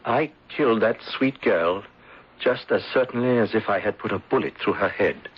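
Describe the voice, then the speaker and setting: An actor playing a grief-stricken, guilt-ridden Victorian insurance agent in old-time radio drama style, speaking formally and haltingly in anguish. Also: male voice, fairly low pitch, a little hiss or hum, close to an everyday voice. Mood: anguished, confessing. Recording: dull vintage radio broadcast sound.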